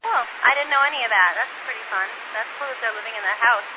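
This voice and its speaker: A woman's voice: a little high, fast talker. Chatty woman on a hissy radio. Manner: chatty, curious and upbeat. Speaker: radio caller. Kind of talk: two-way radio chat. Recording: lo-fi radio link with static hiss.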